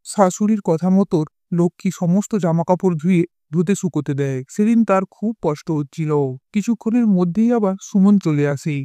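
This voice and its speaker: A man's voice: high-pitched, a little fast, warm tone, dry recording. A man narrating a scripted cartoon story as its storyteller.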